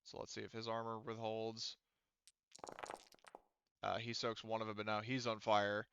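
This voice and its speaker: A man's voice: lowish voice, flat delivery, moderate pace, gravelly, fairly bright tone, clear, resonant tone, no room sound. A man running a tabletop roleplaying game as game master, talking through dice rules in a casual, profane way over slightly dull audio.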